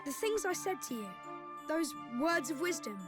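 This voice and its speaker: A high, youthful boy prince, gentle and wise beyond his years. He explains things with measured earnestness, as in a game cutscene, with orchestral music playing behind him. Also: sing-song. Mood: earnest, calm. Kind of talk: video game cutscene acting.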